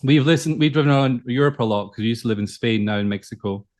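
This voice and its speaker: A man's voice: mid-range voice, quick pace, a little mellow. A man with a Scottish accent, hosting a podcast interview in a friendly, casual way. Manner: friendly, with fillers. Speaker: podcast host.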